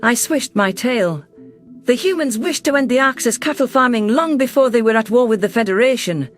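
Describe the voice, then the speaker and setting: A man narrating a science fiction story in the first person with steady storytelling delivery. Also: very high-pitched, moderate pace, faint music behind.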